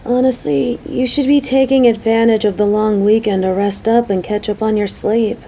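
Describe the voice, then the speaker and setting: Casting: actress as female warehouse coworker, smitten, motherly and fretful; higher register, soft warm tone; intimate romantic audio roleplay, earnest delivery. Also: fairly even intonation, normal speed, slight background noise, natural voice. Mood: worried, caring. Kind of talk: scripted romantic audio roleplay spoken to the listener.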